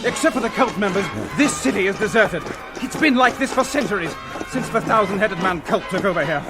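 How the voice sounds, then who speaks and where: An actor playing an agitated captive professor in a radio adventure serial, urgent and stammering. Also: male voice, high voice, expressive, brisk, over loud music. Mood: anxious, explaining. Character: frightened male professor, rescued captive expedition leader. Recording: old radio drama recording.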